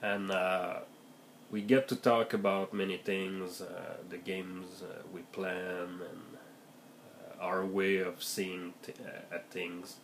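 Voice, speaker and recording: A man with a heavy cold speaks in a very low, raspy voice. He talks slowly and haltingly, restarting his sentences as he gives advice on running games. Faint noise hums behind him. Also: flat delivery. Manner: hesitant, many fillers and restarts, thoughtful. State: has a heavy cold, voice deeper and raspier than usual. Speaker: game master giving tips. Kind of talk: casual vlog monologue.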